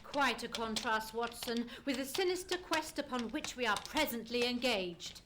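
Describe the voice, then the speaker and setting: A woman playing a shrewd, assured gentleman detective, formal and precise, in a live radio play. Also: a little high, lively intonation, moderate pace, background noise, close to an everyday voice. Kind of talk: live old-time radio play acting.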